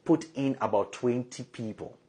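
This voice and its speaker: A man with a Ghanaian accent delivering an impassioned political editorial, his voice hoarse and husky from flu. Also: mid-range voice, moderate pace, mellow. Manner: impassioned, indignant, emphatic repetition. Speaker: political commentator. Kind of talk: opinion editorial monologue.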